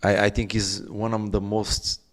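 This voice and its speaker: Man, Portuguese accent, very low pitch, middle-aged, halting, repeats words. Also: little pitch movement. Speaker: real estate expert guest. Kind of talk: casual conversation.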